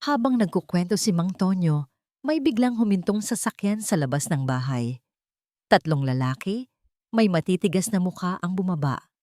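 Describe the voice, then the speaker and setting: A woman narrates a dramatic love story in scripted style. Her voice sits fairly low, and the recording is close and dry. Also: animated, moderate pace, a little mellow, no echo.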